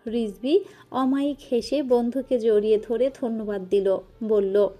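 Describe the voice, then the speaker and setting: A woman storyteller narrating a scripted romance. Her voice is mellow and slightly high, and her reading is expressive and emotive. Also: a little slow, faint music behind.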